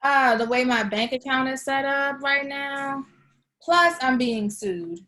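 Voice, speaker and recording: A woman's voice: sits high, slow pace, pushed and bright, full character voice. An actress at a table read playing a playful, needy girlfriend in a relationship comedy-drama. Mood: disappointed. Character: playful, needy, broke long-distance girlfriend.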